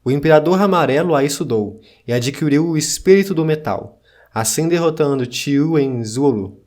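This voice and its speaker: A man's voice: a little high, normal speed, a little mellow, close to an everyday voice. A man playing an ancient survivor of a fallen dynasty, narrating in a solemn, formal storytelling manner. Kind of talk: scripted dramatic narration.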